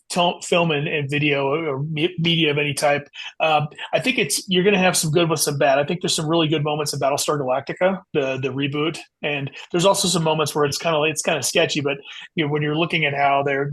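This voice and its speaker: A man speaking as an author guest in a casual podcast interview, haltingly thinking aloud as he talks. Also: on the high side, fairly even intonation, a bit bright, husky.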